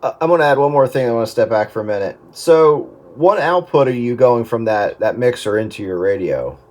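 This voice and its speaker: A man's voice: mid-range voice, expressive, a little fast, a little mellow. A man chatting casually about technical matters on the radio, thinking aloud in a hesitant way. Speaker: amateur radio operator.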